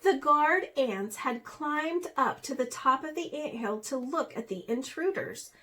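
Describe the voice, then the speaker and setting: A woman, a teacher, reading a children's storybook aloud in an expressive way. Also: high voice, measured pace, slight background noise.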